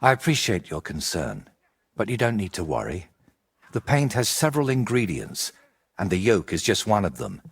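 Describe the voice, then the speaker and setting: An actor playing a polite but fussy, secretive painter in a medieval game, speaking earnestly and with careful wording. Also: male voice, fairly low pitch, very animated, moderate pace, gravelly, husky, exaggerated voice. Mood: reassuring. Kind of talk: dramatic game dialogue acting.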